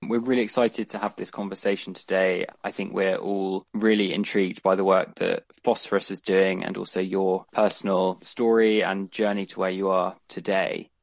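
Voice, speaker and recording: A man's voice: sits low, moderate pace, vocal fry, few pauses. A man hosting a podcast, reading an introduction and then asking a guest questions. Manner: scripted, even-paced introduction. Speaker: podcast host.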